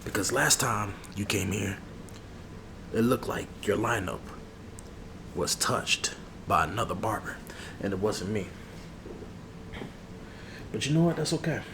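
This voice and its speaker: Man playing a low-voiced, grumbling ASMR barber. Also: expressive. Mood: grudging, hustling. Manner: grumbling, repeats phrases, drawn-out asides. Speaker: ASMR role-play creator. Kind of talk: ASMR role-play, casual chat to the listener. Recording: clearly noisy background ambience.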